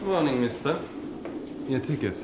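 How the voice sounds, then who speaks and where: An actor playing a chirpy, over-familiar train conductor in a radio crime play. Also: male voice, mid-range voice, lively intonation, unhurried, close to an everyday voice. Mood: breezy, knowing. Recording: loud train noise behind.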